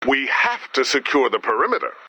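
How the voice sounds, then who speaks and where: Worried, wildly swooping game comrade. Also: male voice, mid-range voice, very animated, brisk, sharp tone, husky, heavily acted. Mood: concerned. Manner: worried, dry. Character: anxious, cautious, pessimistic male game comrade. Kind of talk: video game voice lines.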